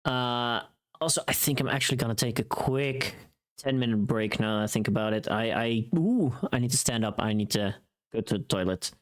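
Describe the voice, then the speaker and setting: Casual livestream host, medium pitch, loose rambling chat, often muttering to self between tasks. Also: normal speed. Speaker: livestreamer, voice actor.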